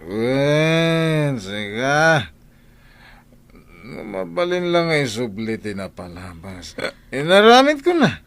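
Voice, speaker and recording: A man's voice: a little high, big pitch swings, slow pace, a bit bright, smooth, exaggerated voice. A man acting a troubled, evasive husband who drinks, hesitant and volatile, in an Ilocano radio drama.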